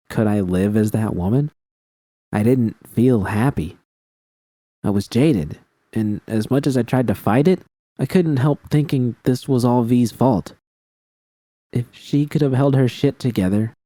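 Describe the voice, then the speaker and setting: Low, dark-voiced male audiobook narrator. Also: no room sound. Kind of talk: scripted first-person fiction narration.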